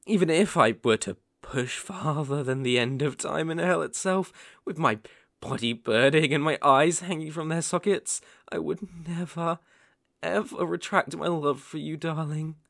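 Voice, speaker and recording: A man playing a possessive, lovesick boyfriend in an ASMR roleplay, cooing with gentle condescension and quiet menace, often hushed and breathy. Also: on the high side, measured pace, no room sound, clean studio sound. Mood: fervent, obsessed. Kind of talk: ASMR roleplay, dramatic monologue.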